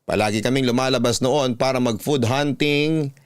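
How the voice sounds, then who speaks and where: A man with a Filipino accent giving sentimental, reflective first-person narration in measured phrases. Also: medium pitch, clear, resonant tone, no echo, clean studio sound. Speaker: storytelling host and narrator.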